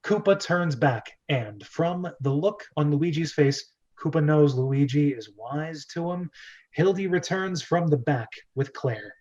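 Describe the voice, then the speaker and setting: Man, higher voice, script narrator, casual amateur table read, chatty asides, dull lo-fi sound. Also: animated, a little slow, darkish tone. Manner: often laughs and breaks off into asides. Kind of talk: casual amateur screenplay table read, reading stage directions.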